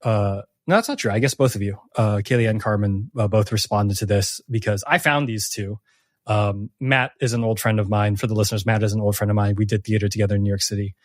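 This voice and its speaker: A man's voice: lowish voice, fairly even intonation, fast talker, dry recording. Earnest man in a dry-sounding recording. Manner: earnest, reflective. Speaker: game master and host of a tabletop campaign podcast. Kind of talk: casual post-game discussion.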